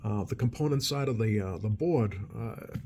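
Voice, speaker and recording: A man with a lowish voice talks through a hands-on repair as a technician would. His delivery is unscripted and halting, with thoughts that trail off and pick up again. A light layer of background noise sits under the voice. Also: dark tone. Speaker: CB radio repair technician and channel host.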